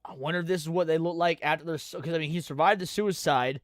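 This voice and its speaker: A man's voice: fairly high pitch, fast talker, dry recording, studio quality. A man hosting a livestream, giving casual, informal reaction commentary. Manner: informal, swearing. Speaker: livestream host.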